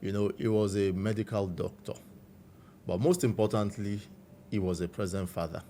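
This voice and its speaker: A man with a Nigerian accent, a guest recounting his life story in a measured, reflective way. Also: lowish voice, steady tone, slight background noise. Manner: measured, warm storytelling with frequent "you know".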